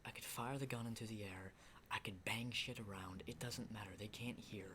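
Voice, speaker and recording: A battle-hardened survivor of the zombie apocalypse played by a man in an ASMR roleplay talks in a hushed, halting ramble peppered with swearing. The voice sits fairly low with a sharp, tense edge. A clear layer of background noise sits under it. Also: fairly even intonation. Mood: urgent, amazed.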